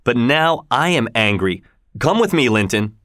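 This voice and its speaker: A man's voice: medium pitch, sing-song, measured pace, fairly bright tone, no room sound, studio quality. A man voicing a cruel, bitter father in an audiobook, by turns mock-polite and commanding. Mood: angry. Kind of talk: audiobook character voice.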